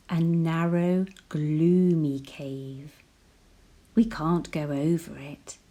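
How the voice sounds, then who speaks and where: Slow, playful storytime teacher voice. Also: female voice, on the low side. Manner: slow and playful, whispering sound words, rising to excited gasps. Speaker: teacher reading a picture book aloud. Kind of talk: expressive read-aloud storytelling for children.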